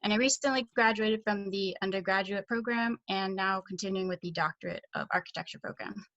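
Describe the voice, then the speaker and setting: A woman in her twenties from California delivers a prepared student presentation. Her pitch is average for a woman. The recording is slightly muffled and lo-fi, like online meeting audio. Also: brisk, a bit bright. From California, United States. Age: 20s. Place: online video call. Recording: dull, lo-fi online call audio.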